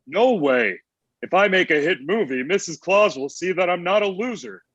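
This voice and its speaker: A man's voice: high-pitched, expressive, normal speed, a bit bright, husky, no room sound, heavily acted. A man playing a sulky, stubborn, lovesick old Santa Claus in a comedy table read, petulant, with dull sound.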